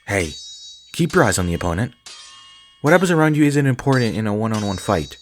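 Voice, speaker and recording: A man's voice: on the low side, very animated, brisk, soft background music. A man playing a stern vampire swordmaster in an audio drama, speaking in a curt, commanding, formal way. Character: stern, relentless male vampire swordmaster and trainer. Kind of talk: dramatic audio roleplay acting.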